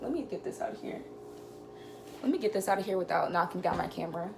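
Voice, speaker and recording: A woman's voice: fairly low pitch, lively intonation, quick pace. A young woman from North Carolina with a naturally crackly voice, chatting through a rambling storytime vlog in her kitchen, swearing casually and full of fillers. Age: young adult. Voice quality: crackly, gravelly voice. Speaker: vlogger. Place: home kitchen.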